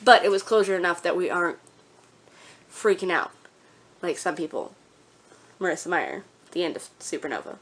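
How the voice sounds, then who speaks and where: A woman's voice: on the low side, expressive, moderate pace, pauses often, fairly bright tone, a little hiss or hum. Gushing woman chatting about favorites. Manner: gushing, emphatic. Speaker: book vlogger. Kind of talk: casual chat to viewers.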